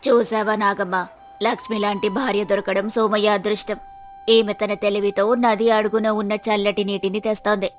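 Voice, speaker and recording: Motherly older village woman, voiced by a female storyteller, pitched down, kindly and plain-spoken. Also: lightly acted.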